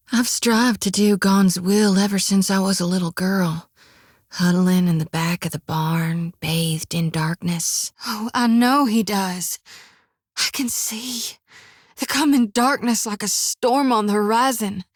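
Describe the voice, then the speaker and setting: An actress voicing a devout young female reaper priestess haunted by visions, with a drawl, often hushed and whispery, recorded dry in a studio. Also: medium pitch, dry recording, natural voice. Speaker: game character. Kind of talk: game voice acting.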